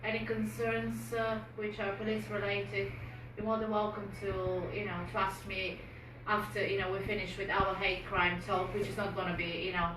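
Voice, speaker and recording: A woman's voice: medium pitch, flat delivery, moderate pace, hardly any pauses. A woman whose first language is Bulgarian, a police community support officer talking informally to a community group, with many fillers and restarts. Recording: echoey room, distant mic, noisy.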